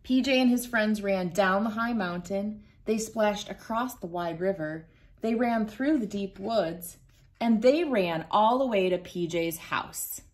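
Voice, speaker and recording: A woman in her thirties reading a picture book aloud to children, gentle and playful, laughing now and then, recorded on a phone camera indoors. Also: mid-range voice, unhurried. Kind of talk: read-aloud storytime for kids. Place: bright room indoors.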